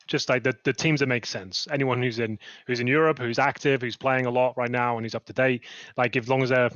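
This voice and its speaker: A man's voice: medium pitch, fairly even intonation, brisk, fairly bright tone, no room sound, dull audio. A man, an esports player, answering interview questions casually with a rambling, filler-heavy manner.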